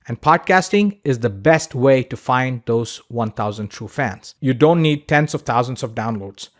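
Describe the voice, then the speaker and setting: A man narrating a scripted online course as its instructor, in a conversational, emphatic, instructional way. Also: mid-range voice.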